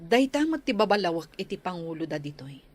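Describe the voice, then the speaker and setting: A woman's voice: fairly low pitch, big pitch swings, quick pace, a little mellow. A woman playing a caring, concerned village nurse in an Ilocano radio drama.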